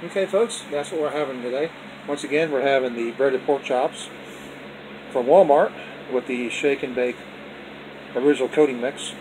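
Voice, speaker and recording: A man's voice: mid-range voice, moderate pace, pauses often, background noise. A man hosting a casual home video showing his dinner, talking in a folksy, repetitive way and closing with religious blessings. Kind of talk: casual off-camera food vlog. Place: indoors at home, dining table.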